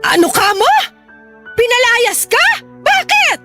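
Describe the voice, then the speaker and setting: A woman's voice: very high-pitched, very animated, takes it slowly, bright, forceful tone, full character voice. A woman playing a harsh, hard-drinking, scolding mother in a Filipino radio drama, blunt and confrontational. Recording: music behind the voice.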